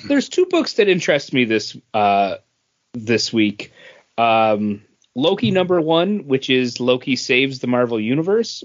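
A man speaking as a podcast co-host in a relaxed chat format. His intonation is animated and varied, at an average pitch. The sound is slightly dull. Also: no room sound. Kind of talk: casual chat about comic books.

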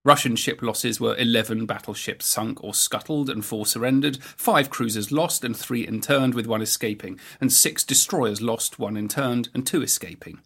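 A man's voice: medium pitch, moderate pace, gravelly, hardly any pauses, fairly bright tone, breathy edge. Brief: male podcast host for scripted history narration, mid-range voice.

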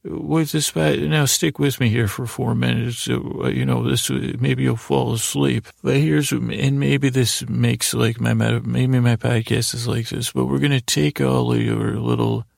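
Very creaky-voiced man rambling at bedtime. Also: medium pitch, fairly even intonation, a little mellow, dry recording, clean studio sound. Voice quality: very creaky, gravelly. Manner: meandering, halting, self-deprecating. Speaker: podcast host.

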